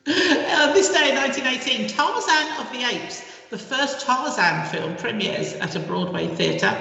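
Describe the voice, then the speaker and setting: Bright, cheerful woman in an echoey hall. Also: high voice, expressive, lo-fi sound. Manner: cheerful, reads dates slowly with a chuckle. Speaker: radio co-host. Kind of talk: casual chat reading out historical dates. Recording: echoey hall, distant from the mic.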